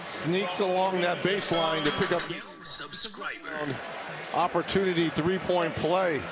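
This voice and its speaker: A man giving measured, analytical color commentary on a live basketball game. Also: high-pitched, steady tone.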